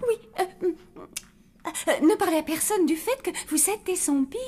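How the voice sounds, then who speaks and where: A woman's voice: very high-pitched, moderate pace, a little mellow, a little hiss or hum. A woman voicing a fretful, overprotective zombie mother in a children's cartoon, anxious and dramatic. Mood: nervous, insistent. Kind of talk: French animated children's cartoon acting.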